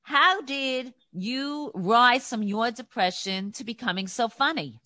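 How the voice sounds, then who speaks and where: Upbeat New York woman host, bright, crisp voice, dry close sound. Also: fairly high pitch, slow pace, dry recording. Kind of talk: interview host.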